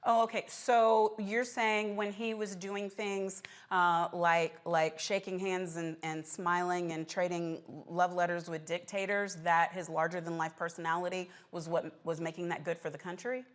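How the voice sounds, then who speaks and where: Low-pitched woman interviewer, pointed and persistent. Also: flat delivery, normal speed, few pauses. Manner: persistent, challenging. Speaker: political talk show interviewer. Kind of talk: pointed political questioning.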